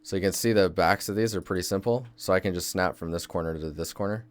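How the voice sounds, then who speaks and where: A young man talks through his work on a casual live stream, easygoing and chatty as he answers viewers. His voice sits low and smooth. He is recorded at his desk on a close microphone. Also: fast talker. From Seattle, Washington State, US. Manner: laid-back, thinks aloud while working. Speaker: game environment artist streaming.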